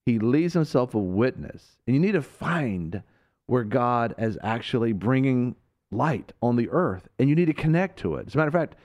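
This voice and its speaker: Fervent preaching man, lively pitch. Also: moderate pace. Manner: fervent, emphatic, swings between loud and hushed. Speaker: radio show host and preacher.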